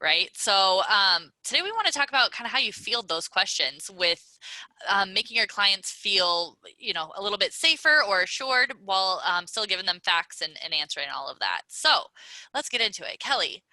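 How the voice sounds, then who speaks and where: A woman co-hosts a business podcast in a loose, conversational way, often pausing on "um" as she frames her questions. Her voice is very bright and edged, and it is recorded dry and close with no room sound. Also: medium pitch, sing-song, no echo, low-quality recording. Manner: conversational, many "um"s, guiding questions. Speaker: podcast co-host.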